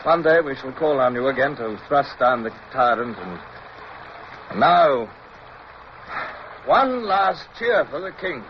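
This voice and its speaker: An actor playing a resolute naval officer in a radio drama, commanding yet tender, crisp and formal as he tells of his war. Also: mid-range voice, very animated, a little slow, long pauses. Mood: rousing. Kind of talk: radio drama acting and first-person narration. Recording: muffled, noisy old radio recording.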